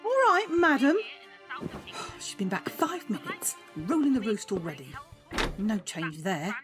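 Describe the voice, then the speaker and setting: A woman's voice: mid-range voice, sing-song, measured pace, music in the background. A woman playing a bossy, exasperated middle-aged mother who scolds in colloquial speech, in an audio drama. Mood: scolding.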